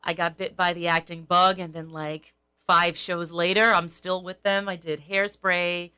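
A woman's voice: lowish voice, flat delivery, measured pace, pushed and bright, smooth. A woman actress from Sullivan County, New York, chatting cheerfully as a guest on a radio show. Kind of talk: casual interview chat.

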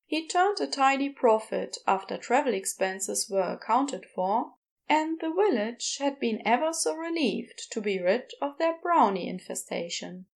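Slow, higher-voiced woman narrator. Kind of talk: scripted audiobook-style story narration.

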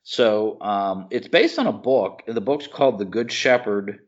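A man's voice: fairly low pitch, unhurried. American guy on a podcast, blunt and opinionated, slangy chat, kinda muffled lo-fi audio. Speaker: podcast co-host. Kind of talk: casual chat about movies and TV.

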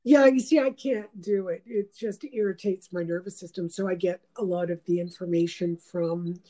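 Mellow-voiced woman musing in a casual chat. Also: fairly low pitch, fairly even intonation, normal speed. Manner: meandering, reflective, with many fillers. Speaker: host.

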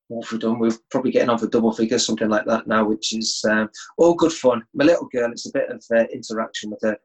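Middle-aged man, easygoing interview guest on a video call, mid pitch. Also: poor audio. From England. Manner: relaxed, easygoing with chuckles and restarts. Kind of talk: casual interview chat.

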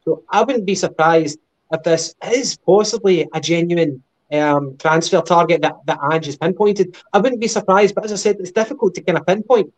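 A man co-hosting a podcast, going through football news in a measured, casual way with lots of ums and uhs. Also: high voice, low-quality recording. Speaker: podcast co-host.

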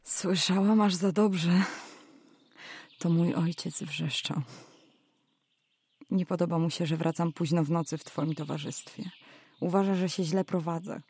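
A woman's voice: lowish voice, fairly even intonation, unhurried, pauses often, darkish tone, slightly rough, no echo. An actress playing a spirited, outspoken young woman in a historical radio drama, with a direct, articulate way of talking. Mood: affectionate, concerned.

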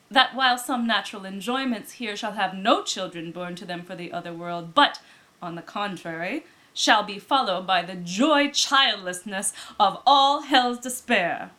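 A woman reading classic literary prose aloud as an audiobook narrator, with deliberate, dramatic phrasing. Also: medium pitch, pushed and bright. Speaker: audiobook reader.

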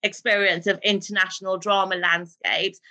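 A woman, a guest in an interview, telling stories in an animated way and laughing easily. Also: medium pitch, normal speed, highs cut off. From Britain, raised in a Pakistani family. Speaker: guest, television drama writer. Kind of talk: casual interview talk.

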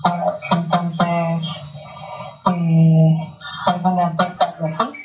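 A woman answers an interview in a halting way and slips into English now and then. Her voice sounds distant and roomy. Faint music plays behind. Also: fairly low pitch, level tone, highs cut off. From Mexico. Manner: hesitant, mixes in English phrases. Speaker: interview guest, nutritionist. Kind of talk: casual conversation.

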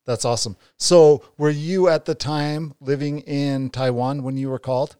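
A man's voice: on the high side, steady tone, normal speed, warm tone, clear, resonant tone, dry recording. Male co-host in his forties, eager and stumbling, dry close sound. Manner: enthusiastic, stumbling restarts. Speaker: podcast co-host. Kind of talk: casual interview.

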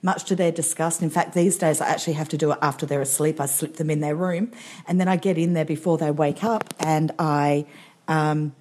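A woman's voice: sits low, fairly even intonation, a little fast, darkish tone. Enthusiastic woman co-host. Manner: enthusiastic, gushing. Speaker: podcast co-host. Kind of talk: casual chat.

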